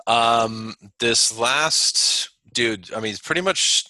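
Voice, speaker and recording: A man's voice: medium pitch, slow, pushed and bright, no echo, low-quality recording. A young man co-hosting a podcast over a video call, chatting loosely with a lot of swearing and laughter. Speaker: podcast co-host. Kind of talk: casual chat. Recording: dry call audio.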